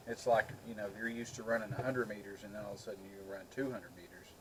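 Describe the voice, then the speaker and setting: A man's voice: lowish voice, steady tone, fast talker. A middle-aged man talking in a casual, chatty way. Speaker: video co-host. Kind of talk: casual chat. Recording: noisy home recording.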